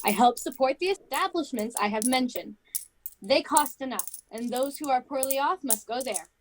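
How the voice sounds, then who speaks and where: A high-voiced, miserly older woman boss played by a woman in an amateur radio play. She is cold and grumpy, snapping curtly and scornfully at everyone. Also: lively intonation, normal speed. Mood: cold, dismissive.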